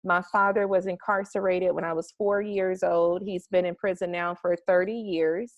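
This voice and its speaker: A woman's voice: lowish voice, fairly even intonation, moderate pace, dry recording. A young woman from the United States, the guest of an interview, talking eagerly and at length from her home garage, with fillers as she goes. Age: young adult. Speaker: interview guest, marketing strategist. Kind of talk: casual interview over a call.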